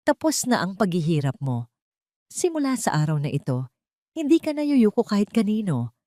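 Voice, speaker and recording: A woman voicing an old, wealthy father, gentle yet authoritative, in an audio drama. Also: mid-range voice, sing-song, moderate pace, no room sound, clean studio sound, natural voice. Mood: reassuring. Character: tender, commanding old billionaire father, protective.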